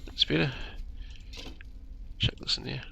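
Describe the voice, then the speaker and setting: A man giving casual, low-key commentary as he plays a video game, musing half to himself. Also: a little slow, pushed and bright, a little hiss or hum. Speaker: gamer commentating on his playthrough. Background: video game music.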